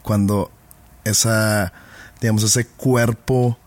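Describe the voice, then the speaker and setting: A man's voice: lowish voice, steady tone, slow pace, a little mellow, dry recording. A man in a casual podcast chat, playful and wordy, building long joking metaphors. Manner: playful, wordy, teasing jokes.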